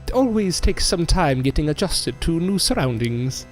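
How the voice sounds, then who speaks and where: A man's voice: a little high, sing-song, moderate pace. A man playing a genteel, modest ventriloquist in an audio drama, speaking in polite, flowery, formal phrases. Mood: grateful, gracious. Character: genteel, modest male ventriloquist, courteous and flattering. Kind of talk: scripted audio drama acting. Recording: music behind the voice.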